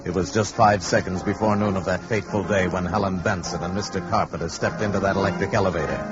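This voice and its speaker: A man's voice: low-pitched, fairly even intonation, fast, loud music behind the voice, close to an everyday voice. A man playing a grave, dramatic narrator in a 1950s radio drama, on a muffled old recording. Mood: portentous. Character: portentous omniscient male narrator.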